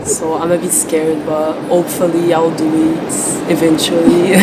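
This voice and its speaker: A young woman speaking candidly as an interviewee, relaxed and soft, filling her talk with "like". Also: fairly low pitch, a little slow. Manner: relaxed, many "like" fillers, soft-spoken. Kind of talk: candid personal testimony. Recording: loud background noise, air conditioning hum.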